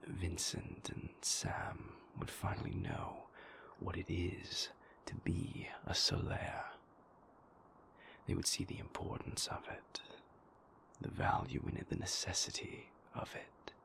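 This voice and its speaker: A man playing a brooding, remorseful vampire boyfriend in an intimate audio roleplay, with a breathy, gravelly near-whisper and halting, formal, confessional phrasing. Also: low-pitched, very animated, a bit bright, heavily acted. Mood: rueful, regretful. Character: brooding, remorseful male vampire boyfriend, former royal enforcer.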